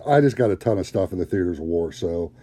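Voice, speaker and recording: A man chatting casually on a gaming stream, in a low-key, somewhat hesitant way. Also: animated, fast, warm tone.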